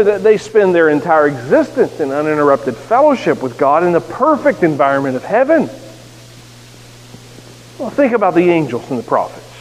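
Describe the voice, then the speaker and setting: A man's voice: fairly high pitch, darkish tone. A man with a neutral American accent preaching a sermon as pastor, earnest with touches of self-deprecating humor. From United States. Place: church sanctuary. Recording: echoey sanctuary with faint hiss.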